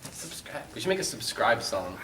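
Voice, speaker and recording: A man's voice: mid-range voice, quick pace, far from the mic. A man co-hosting a casual talk show, bantering jokingly and slipping into mocking imitations. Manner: animated, joking, mimics others mockingly. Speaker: co-host of a video game and film talk show. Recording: echoey room, off-mic, noticeable noise.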